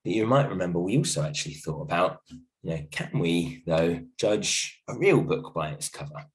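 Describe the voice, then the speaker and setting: A male teacher with a croaky voice from illness, giving a gentle, reassuring video lesson from home with many ums and restarts. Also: low-pitched, expressive, moderate pace, rough recording. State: croaky voice from a cold, coughing. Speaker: primary school teacher. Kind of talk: recorded video lesson for a class.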